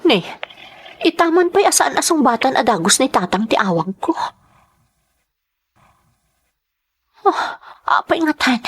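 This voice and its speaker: High-voiced, aggrieved Ilocano wife played by an actress. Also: female voice, very animated, moderate pace, breathy edge, exaggerated voice. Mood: bitter, aggrieved. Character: distressed wife and mother, wronged by her husband. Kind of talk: radio drama acting and first-person narration.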